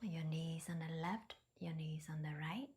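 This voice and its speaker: A woman leading a guided meditation in a soft, breathy near-whisper, with gentle, hesitant non-native phrasing. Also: deep voice, a little slow. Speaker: meditation teacher.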